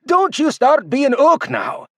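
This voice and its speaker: A man's voice: very high voice, huge pitch swings, takes it slowly, fairly bright tone, no room sound, clean studio sound, voice pushed up, full character voice. A man voicing a stocky, harried male dwarf leader who talks in a bossy, pleading, rustic way. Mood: scolding.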